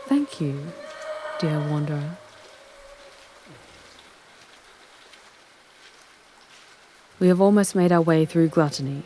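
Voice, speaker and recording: Demon guide for ASMR drama, played by a woman: female, deep and mellow, haughty, formal, sultry. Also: slight background noise, natural voice. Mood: flattered, soft. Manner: haughty, theatrical, formal phrasing. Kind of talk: ASMR roleplay, dramatic monologue to the listener.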